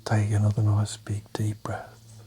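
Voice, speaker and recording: A man leading a guided meditation in a soft, breathy, half-whispered voice, calm and soothing, pausing between short phrases. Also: on the low side, a little hiss or hum. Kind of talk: guided meditation, soft-spoken hypnotic suggestion.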